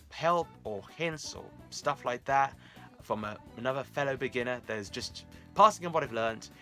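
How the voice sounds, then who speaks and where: A man speaks casually to his viewers as a vlog host. His voice is bright and forward, at a middling pitch. Soft music plays behind him.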